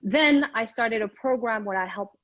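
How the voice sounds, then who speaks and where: A woman's voice: on the high side, moderate pace, fairly bright tone, dry recording. Chatty woman presenting over a dull line. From Morocco. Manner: upbeat, chatty, frequent ums and restarts. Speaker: business coach presenting. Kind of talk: live remote presentation. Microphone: remote call microphone.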